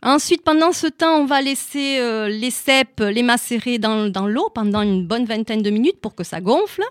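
A woman's voice: a little high, expressive, normal speed, no room sound, studio quality. A woman with an Italian accent, a shop owner and guest, chatting and explaining cooking step by step. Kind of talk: casual explanation of recipes.

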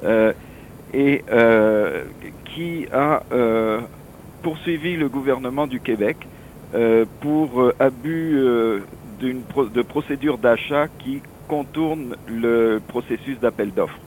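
A middle-aged or older man with an accent from France, giving halting, digressive explanations as a telephone interview guest. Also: mid-range voice, a little mellow. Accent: French from France. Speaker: association president, interview guest. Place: office, on the phone. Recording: noisy telephone line.

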